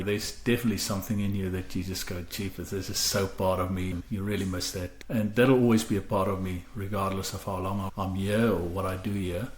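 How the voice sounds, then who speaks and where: Reflective South African man, echoey room. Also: deep voice. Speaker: podcast co-host. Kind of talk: reflective personal storytelling. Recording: echoey room with faint background noise.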